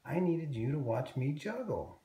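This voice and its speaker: A man voicing an earnest, excitable young boy tiger in a children's storybook read-aloud. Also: fairly high pitch, measured pace, reverberant, pitched above the performer's own voice. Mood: hurt, pleading.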